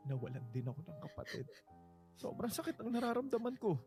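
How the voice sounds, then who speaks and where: A man's voice: high voice, very animated, brisk, gravelly, mellow, full character voice. A man acting an earnest, grieving best friend in a radio drama, comforting and pleading in a Filipino accent. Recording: studio voice over prominent dramatic music.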